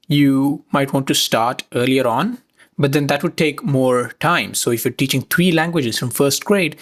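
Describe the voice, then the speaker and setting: A teenage student from India co-hosting a podcast, laying out his reasoning in an earnest, step-by-step way. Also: medium pitch. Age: teens. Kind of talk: casual discussion.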